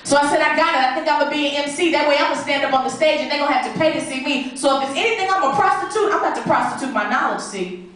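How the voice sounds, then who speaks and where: A woman's voice: sits high, very fast, a bit bright. A woman performing spoken word poetry live, with an emphatic, dramatic, rhythmic delivery. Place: live stage performance in an echoey room. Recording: very echoey room, distant mic.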